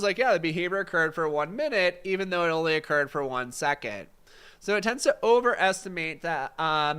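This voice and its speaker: A man's voice: high-pitched, fairly bright tone, clean tone. A man with a congested, fading voice teaching a casual live-stream exam study session, answering chat questions with lots of fillers. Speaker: exam prep instructor.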